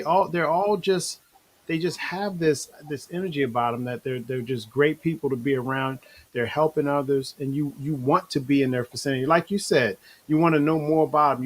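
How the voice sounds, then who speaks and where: A man hosting a live online talk show, interviewing the cast of a stage play in a loose, chatty way. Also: fairly high pitch. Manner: loose, restarting, addresses guests as y'all. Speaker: show host. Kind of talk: casual live-stream interview.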